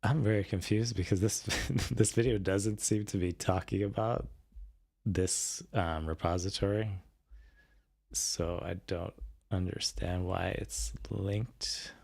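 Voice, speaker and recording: A man with a low voice chats casually as a livestream host. He sounds a little raspy and worn from being sick, and he thinks aloud in a hesitant, halting way. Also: fairly even intonation, a little mellow. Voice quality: slightly raspy. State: voice tired after recent illness. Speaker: streamer host.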